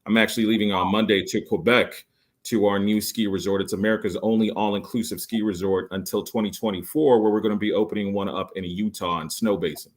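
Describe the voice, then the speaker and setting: Man, late 40s, lowish voice, podcast guest selling resorts, eager with lots of ums. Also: steady tone, fast. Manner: enthusiastic salesy pitch with many fillers. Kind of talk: podcast interview.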